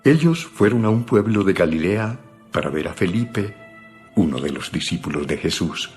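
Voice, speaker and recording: Male narrator, low voice, scripted Bible storytelling, soft background music. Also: animated, normal speed.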